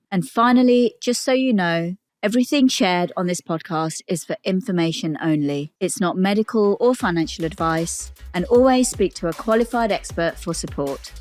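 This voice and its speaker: A woman's voice: lowish voice, darkish tone, no echo, clean studio sound. A woman hosting a podcast, reading an intro then politely inviting her guest to talk. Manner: polite, encouraging with short backchannels. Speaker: podcast host. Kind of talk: scripted intro then interview.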